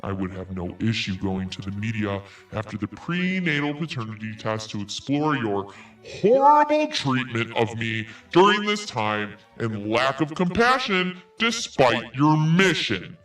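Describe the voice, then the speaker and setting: A man's voice: medium pitch, big pitch swings, measured pace, fairly bright tone, far from the mic, faint music behind, rough recording. A man podcast host reading an email aloud in a theatrical, emphatic way. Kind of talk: dramatic read-aloud of an email.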